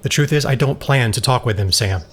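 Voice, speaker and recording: A man's voice: mid-range voice, a little fast, a bit bright, a little hiss or hum, natural voice. A man playing a resolute, hard-line former soldier in an audio drama, speaking firmly and seriously. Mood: grim, determined. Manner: firm, serious, argumentative.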